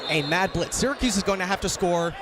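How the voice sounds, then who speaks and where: A man's voice: high-pitched, lively intonation, fast talker, crowd noise, background noise. An American man doing live radio sports play-by-play in emphatic, clipped phrases. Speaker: play-by-play commentator.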